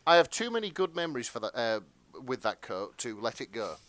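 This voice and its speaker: A man co-hosting a podcast, chatting casually with friends in a deadpan, self-deprecating way. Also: medium pitch, a little fast, no room sound. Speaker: podcast co-host.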